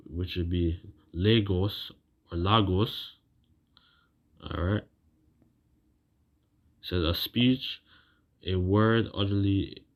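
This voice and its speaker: A man preaching informally as he talks and reads scripture, rambling and halting, repeating phrases and checking in with casual tags, on a muffled recording. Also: sits low. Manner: colloquial, seeks agreement with tags like "right". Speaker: religious preacher. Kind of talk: informal sermon with scripture reading.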